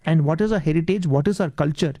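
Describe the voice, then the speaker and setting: A man's voice: fairly high pitch, quick pace, warm tone, no room sound. A man with an Indian accent, a native Tamil speaker, giving earnest interview answers and often saying "yes" and "ma'am". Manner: earnest, repeats words, addresses host as ma'am. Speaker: guest.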